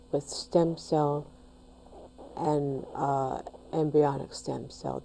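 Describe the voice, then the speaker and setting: A woman hosts a small local talk show and asks her guest plain questions. She speaks slowly, with a very low voice that carries some vocal fry, and hesitates over her wording. Her tone is dark and mellow. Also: low-quality recording. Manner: slow, simple questions with hesitations. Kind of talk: interview hosting.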